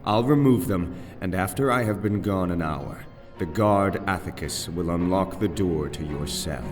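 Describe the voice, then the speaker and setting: Long, cavernous reverb surrounds a man acting as a young aristocrat in a fantasy audio play. His voice is low and slow, measured and secretive, like someone calmly arranging a dark bargain. Faint music plays beneath him. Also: lightly acted. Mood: secretive, calculating. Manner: measured, deliberate, conspiratorial. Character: young aristocratic nobleman, cool, scheming, secretive.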